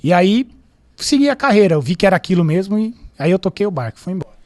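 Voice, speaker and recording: A man in his thirties with a São Paulo accent, a police captain calmly answering interview questions about his career. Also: high-pitched. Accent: Paulistano Brazilian Portuguese. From São Paulo, Brazil. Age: 30s. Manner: calm, measured, storytelling. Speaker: military police captain, guest.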